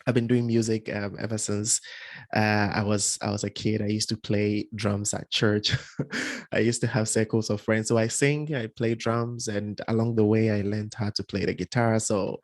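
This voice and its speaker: A man's voice: lowish voice, steady tone, moderate pace, dry recording. A young adult man from Ghana, speaking as an interview guest in an earnest, casual way, often repeating words and laughing lightly. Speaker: guest, environmental engineer.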